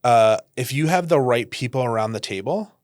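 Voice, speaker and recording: A man from the Northeastern United States, a podcast guest talking casually, animated, often laughing and saying "like". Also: medium pitch, brisk, no room sound, clean studio sound. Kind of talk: casual conversation.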